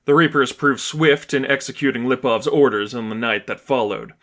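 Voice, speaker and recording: Male narrator, medium pitch, scripted story reading, fan fiction audiobook. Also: moderate pace, fairly bright tone.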